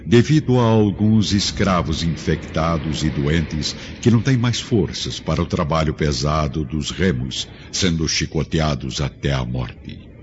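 A man's voice: rumbling low, a little slow, slight background noise. A man delivering scripted radio drama narration in a solemn, literary manner. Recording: muffled audio under music.

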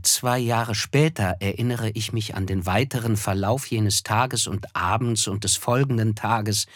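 An actor playing a reflective, melancholy narrator in a literary radio play, speaking in a measured, solemn way. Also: male voice, medium pitch, no echo, clean studio sound, natural voice. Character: reflective, melancholy male narrator recalling a tragedy.

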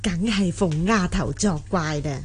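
Slow, low-voiced elderly noble matriarch. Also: female voice, husky. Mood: grumbling. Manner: authoritative, scolding fondly. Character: elderly noble matriarch, commanding, grumbling yet kindly. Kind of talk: radio drama acting.

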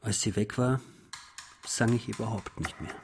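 A man's voice: on the low side, slight background noise, close to an everyday voice. An actor playing a melancholy taxi driver of about forty, recounting his life reflectively in a radio drama monologue. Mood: wistful. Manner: measured, reflective delivery.

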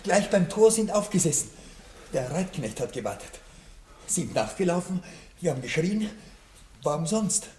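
A man's voice: high voice, big pitch swings, moderate pace, pauses often, a little mellow, roomy sound. An actor playing a breathless male servant in a 1960s radio play, calling out from a distance.

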